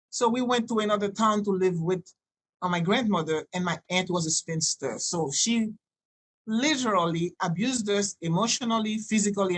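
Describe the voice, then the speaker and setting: A woman in her sixties from Haiti, a native French and Creole speaker with a Haitian accent, telling personal stories in a halting, digressive way as a podcast guest. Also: fairly low pitch, poor audio. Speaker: podcast guest, doctor and healer. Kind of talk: conversational personal storytelling.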